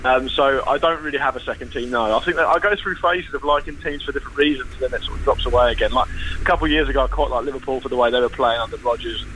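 Dry joking guy talking football fast, bright edgy voice, faint hiss behind. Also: mid-range voice, low-quality recording. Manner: wry, self-deprecating, quick talker with restarts. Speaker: football podcast guest and radio host. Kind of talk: casual podcast chat.

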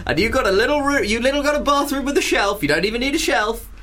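A man's voice: very high voice, animated, fast, sharp tone, faint music behind. A young man co-hosting a comedy podcast, riffing in a crude, sarcastic way. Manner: crude, sarcastic, rambling riffs. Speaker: podcast co-host. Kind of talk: casual comedy chat.

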